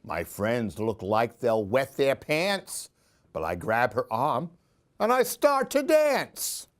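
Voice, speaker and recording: A man reading a children's story aloud in an expressive, theatrical, singsong way. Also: medium pitch, slow pace. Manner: theatrical, singsong rhyming delivery.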